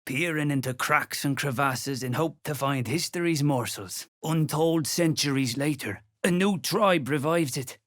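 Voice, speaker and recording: A man's voice: on the high side, unhurried, hardly any pauses, fairly bright tone, no echo, clean studio sound. A man voicing a weary, scholarly dwarf stonemason in a video game, courteous and curious, with an ornate, musing way of talking. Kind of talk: video game character acting.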